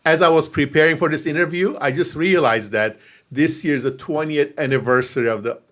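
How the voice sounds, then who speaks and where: A man hosting a podcast, giving a polished intro and then asking questions in a halting way. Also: on the high side, fairly bright tone. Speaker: podcast host. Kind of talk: podcast interview. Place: podcast studio.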